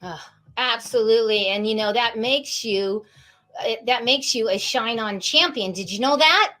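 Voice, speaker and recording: A woman's voice: on the high side, unhurried, sharp tone. A woman hosting an online interview over a video call, gushing and enthusiastic, often repeating herself. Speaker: host. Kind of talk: upbeat online interview chat.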